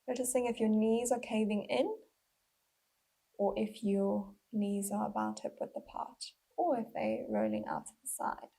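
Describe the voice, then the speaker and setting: A woman leading a guided body scan as a mindful movement instructor, speaking gently and unhurriedly with pauses, in a breathy, slightly husky voice. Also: mid-range voice, lively intonation.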